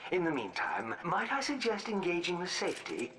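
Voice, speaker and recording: An actor playing a deadpan, sarcastically obsequious robot butler to a villain in a video game. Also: male voice, high-pitched, moderate pace, heavily acted. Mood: deferential, dry. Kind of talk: video game cutscene acting.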